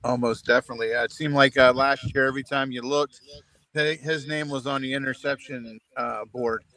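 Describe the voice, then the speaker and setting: Hoarse man in halting sports chat. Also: medium pitch, fairly even intonation, pushed and bright, rough recording. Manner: halting, many ums and restarts. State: hoarse, losing his voice. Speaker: co-host of a local sports show. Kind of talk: casual sports talk.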